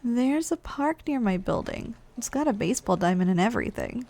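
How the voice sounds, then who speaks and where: A woman's voice: fairly high pitch, animated, a little fast, gravelly, warm tone. Weary, musing giantess played by a woman. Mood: friendly, wistful. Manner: musing, conversational, teasing. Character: weary, snack-craving giantess office worker, bluntly chatty. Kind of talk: ASMR roleplay monologue.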